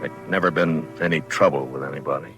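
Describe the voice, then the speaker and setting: An actor playing a steady, laconic US Marshal in an old-time radio western, calm and plainspoken with an American accent. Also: male voice, rumbling low, a little fast. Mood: somber, reflective. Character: steady, laconic middle-aged male frontier US Marshal. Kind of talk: old-time radio western acting and first-person narration. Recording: vintage radio recording, music behind.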